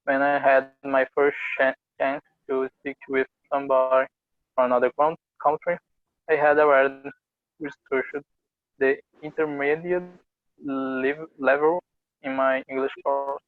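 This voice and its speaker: A male student reading a sentence aloud in class, halting and stopping over words. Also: medium pitch, level tone, no room sound, phone-quality sound.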